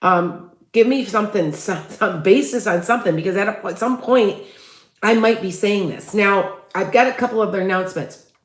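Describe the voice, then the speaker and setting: Host, female, Chicago accent, mid pitch, loose chatty restarts, echoey home room. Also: normal speed. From Midwest US. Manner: rambling, many restarts, asks viewers for tips. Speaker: psychic livestream host. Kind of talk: casual livestream chat.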